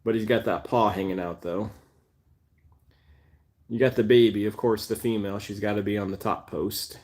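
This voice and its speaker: A man's voice: fairly low pitch, steady tone, moderate pace, a little mellow. A man in his forties hosting a casual cat livestream, rambling with fillers and restarts and talking to his cats between viewer comments. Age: 40s. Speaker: cat channel livestream host.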